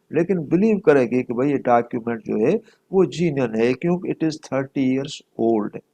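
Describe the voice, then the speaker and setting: A man's voice: fairly high pitch, darkish tone. A middle-aged man with a Pakistani accent giving an explanatory law lecture, repeating points for emphasis and dropping in English legal terms.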